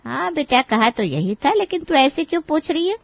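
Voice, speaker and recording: A woman's voice: high voice, sing-song, moderate pace, creaky, dry recording, dull audio, exaggerated voice. A woman playing a feisty, teasing middle-aged mother in a dramatized story, scolding and encouraging her grown daughter. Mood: scolding pep talk.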